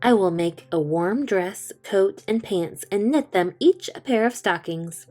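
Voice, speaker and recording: Kind storybook shoemaker's wife, gentle and earnest, mellow tone, read by a woman. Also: mid-range voice, animated, measured pace, faint music behind.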